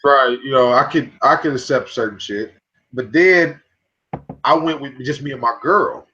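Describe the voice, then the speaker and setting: A man's voice: medium pitch, normal speed, sharp tone. A man chatting casually on a podcast, rambling with many restarts and some swearing. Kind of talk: casual chat about movies. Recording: dull lo-fi mic.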